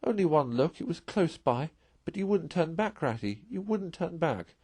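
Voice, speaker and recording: A timid storybook mole, acted out by a man, pitched high and choked with tears. He pleads brokenly and mournfully, in a close, dry recording. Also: expressive, moderate pace, a little mellow, husky, no room sound. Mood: tearful, homesick. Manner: sobbing, broken, gasping, pleading delivery. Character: tearful, homesick, timid male mole, pleading.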